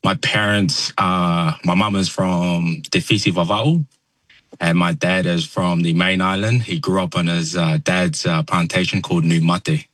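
A young man from New Zealand with a New Zealand accent, a soft-spoken, halting radio interview guest who fills pauses with ums. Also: deep voice, steady tone, dry recording. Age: young adult. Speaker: guest landscaper.

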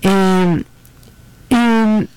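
Mexican woman, fifties, radio guest, smooth clear voice, dry close sound, earnest, halting fillers. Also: medium pitch, steady tone, a little mellow, no echo, faint music behind. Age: 50s. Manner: earnest, reflective, many fillers and restarts. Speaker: guest, lawyer and public servant. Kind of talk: casual radio interview. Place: radio booth.

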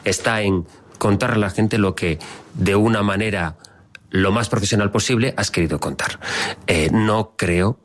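Deep-voiced earnest male journalist. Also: a little slow. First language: Catalan. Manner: earnest, reflective, many restarts. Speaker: journalist and radio host. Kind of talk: podcast conversation.

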